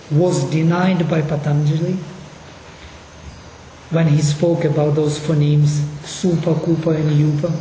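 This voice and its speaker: Brief: Russian-accented linguistics lecturer, mellow tone, slow and deliberate delivery, echoey hall with faint room hum. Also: medium pitch. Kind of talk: course lecture. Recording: echoey hall, mic at a distance.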